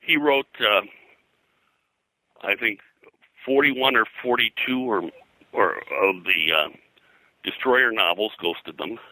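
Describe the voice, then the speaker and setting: A man with a very deep voice and a hard, very bright edge speaks as an expert guest. He rambles and halts, repeating words as he explains in an informal interview. The sound is dry. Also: slow, long pauses, no room sound, rough recording. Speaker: guest, pop culture historian. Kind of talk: informal interview talk about pulp fiction history.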